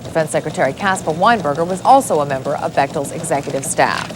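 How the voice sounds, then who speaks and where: A woman anchoring a television news bulletin, reading the headlines in a crisp, measured way. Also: low-pitched, music in the background.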